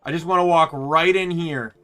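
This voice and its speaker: A man's voice: fairly high pitch, normal speed, sharp tone, clear, resonant tone, soft background music. A man streaming a game from his room, chatting casually and rambling, often restarting his sentences. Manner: rambling, self-correcting. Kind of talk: casual gameplay chat. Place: home room.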